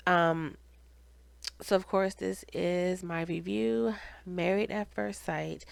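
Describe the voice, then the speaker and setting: Congested, nasal woman with slow, lowish voice. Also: fairly even intonation, gravelly, clear, resonant tone, no room sound. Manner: chatty, conversational, addressing viewers directly. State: congested with cold or allergies. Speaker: video reviewer and channel host. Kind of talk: casual reality TV recap.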